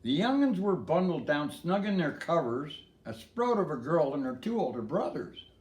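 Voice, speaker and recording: A man's voice: a little high, very animated, moderate pace, darkish tone, echoey room, low-quality recording. An older man reading a storybook in verse in a folksy way, with casual asides to a listener.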